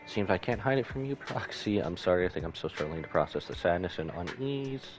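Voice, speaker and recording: Man reading as a hesitant young soldier woman, low-voiced. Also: expressive, brisk. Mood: troubled. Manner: hesitant, apologetic. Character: troubled young female soldier, earnest and guilt-ridden. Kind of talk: game dialogue read aloud by a streamer. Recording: music behind the voice.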